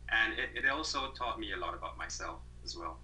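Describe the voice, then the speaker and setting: A man's voice: on the low side, expressive, fairly quick, bright, forceful tone, a little hiss or hum, poor audio. A man in his thirties from Malaysia, a guest on an interview over a video call, talking thoughtfully with many fillers and restarts.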